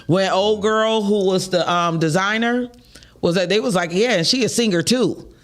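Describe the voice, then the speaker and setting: High-voiced chatty man with a Detroit accent. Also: normal speed. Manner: animated, chatty, laughs often. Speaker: podcast co-host. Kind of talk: casual chat.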